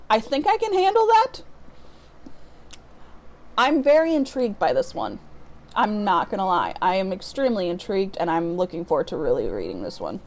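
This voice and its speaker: A woman from the American Midwest talks in a casual, chatty vlog style at a mid-range pitch. She rambles a little and interrupts her own thoughts, like someone showing new books to her viewers. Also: a little mellow, slight background noise. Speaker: book vlogger. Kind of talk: casual unboxing vlog chat.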